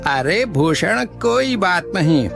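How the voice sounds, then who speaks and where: A man voicing a smooth-talking, reassuring village landlord with an ingratiating manner in a cartoon moral story. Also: high-pitched, big pitch swings, takes it slowly, full character voice. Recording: music behind the voice.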